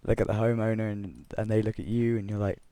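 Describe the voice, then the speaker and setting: A young man with a croaky voice from being unwell, rambling through gameplay commentary and a story, correcting himself often with chuckling asides. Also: on the low side, fairly even intonation, quick pace, warm tone, no echo. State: croaky voice from illness. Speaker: Minecraft let's player.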